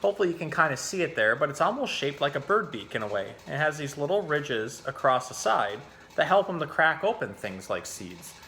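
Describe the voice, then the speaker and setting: Bright-voiced man, informal educator. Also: a little high, sing-song, fairly quick. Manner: informal, explanatory. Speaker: zookeeper hosting an animal storytime. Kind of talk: casual educational talk and storybook reading.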